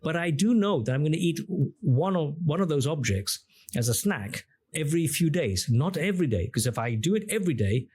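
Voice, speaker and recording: A man's voice: on the high side, big pitch swings, fairly quick, darkish tone, husky. A middle-aged man who grew up speaking Greek, talking as a podcast guest and explaining his ideas at length with questions and examples. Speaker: podcast guest, engineer and app founder. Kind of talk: conversational interview.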